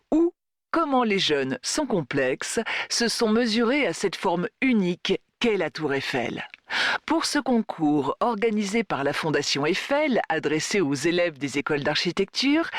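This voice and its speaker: A woman's voice: medium pitch, no room sound, studio quality. Woman reporter with very varied intonation. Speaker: radio-style report presenter. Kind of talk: scripted news report narration.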